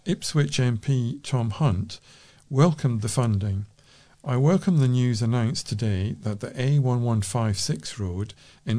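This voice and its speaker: A man's voice: medium pitch, mellow. A British man reading local news aloud as a volunteer newsreader.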